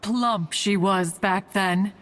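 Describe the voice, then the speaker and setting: A woman's voice: medium pitch, slow pace, noisy background, close to an everyday voice. A woman voicing a stately, ancient, fondly meddling immortal in an anime-style game, with archaic, formal, pompous speech. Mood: fond reminiscing. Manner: archaic, formal, pompous, calls herself "one". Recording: music behind the voice.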